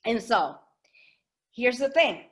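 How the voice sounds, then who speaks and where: A woman from the Dominican Republic telling her personal story as a webinar guest speaker, hesitant and reflective, with many pauses and fillers. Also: on the high side, big pitch swings. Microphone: video call.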